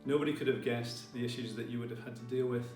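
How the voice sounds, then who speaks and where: Guy doing a formal graduation style speech, measured, roomy far mic, faint music behind. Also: medium pitch, steady tone, a little mellow. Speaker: college leader addressing graduates.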